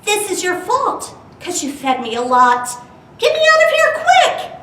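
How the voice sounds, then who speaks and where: A woman's voice: extremely high pitch, huge pitch swings, unhurried, sharp tone, voice pushed up, heavily acted. A woman voicing a hungry, indignant pet goldfish in a children's storybook, pleading and scolding. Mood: angry, blaming. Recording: roomy, distant mic with faint hiss.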